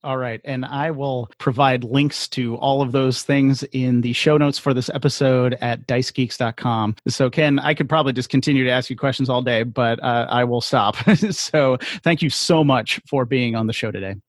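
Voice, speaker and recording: A man's voice: medium pitch, steady tone, normal speed, hardly any pauses, dry recording, studio quality. A man hosting a podcast, talking casually in an affable, agreeable way. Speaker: podcast host. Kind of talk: casual interview chat.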